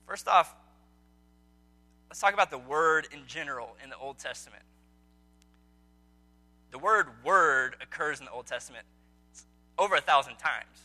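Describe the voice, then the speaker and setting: A man's voice: a little high, expressive, moderate pace, pauses often, pushed and bright. A man leading an evening Bible study, talking casually to his group, asking them questions with frequent ums. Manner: conversational, quizzes listeners, frequent ums. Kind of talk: casual teaching talk to a small group. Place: indoor meeting room with a group.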